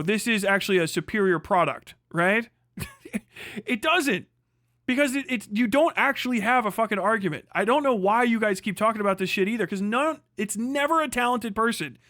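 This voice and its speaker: A man's voice: sits high, a bit bright, no echo, clean studio sound. An American man in a casual livestream rant, swearing often and arguing emphatically. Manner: profane, emphatic, argumentative. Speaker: livestream host.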